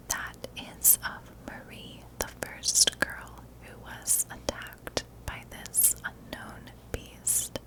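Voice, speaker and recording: Slow, whispering woman ASMR storyteller. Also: rough recording. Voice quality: soft, breathy whisper. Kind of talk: whispered ASMR narration of a historical mystery.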